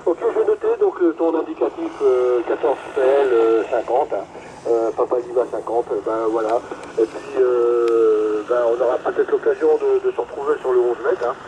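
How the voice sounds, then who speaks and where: A man's voice: mid-range voice, fairly even intonation, moderate pace, dark tone, slight background noise, low-quality recording. A man chatting casually and hesitantly over two-way radio as a hobbyist, often repeating his words. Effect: two-way radio transmission. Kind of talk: casual amateur radio chat.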